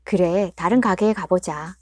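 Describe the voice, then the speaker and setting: A woman voicing a remorseful, patient mother who speaks in a soft, apologetic, pleading way. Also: fairly low pitch, moderate pace, no room sound, lightly acted. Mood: agreeable.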